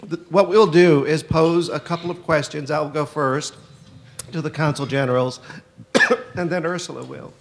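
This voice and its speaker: A man's voice: fairly high pitch, steady tone, normal speed, gravelly, slight background noise. A man moderating a university panel, reading guest bios with some stumbles and posing questions. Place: university lecture hall.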